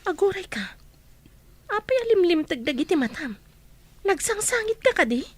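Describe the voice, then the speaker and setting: A woman with a Filipino accent playing an expressive, concerned young woman in a radio drama, animated and questioning. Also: very high-pitched, moderate pace, slight background noise. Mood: worried. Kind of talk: Ilocano radio drama acting.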